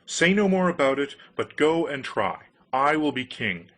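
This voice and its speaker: A bossy storybook wife, played by a male narrator, ambitious and never content. The voice is bright and pushed up in pitch, with an insistent, imperious delivery. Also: very animated, a little slow.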